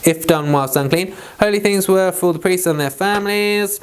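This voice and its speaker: A man's voice: high-pitched, moderate pace, slight background noise. A British man reading scripture aloud and explaining it informally, in a rambling, self-interrupting way. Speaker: Bible reader and teacher.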